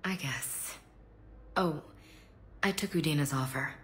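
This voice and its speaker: An American actress playing a young soldier in a video game, subdued and weary, hesitant and understated. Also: female voice, sits low, takes it slowly. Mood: subdued, unsure. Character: young female human soldier, subdued, grieving, dutiful. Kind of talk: naturalistic game dialogue acting. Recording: game music behind dialogue.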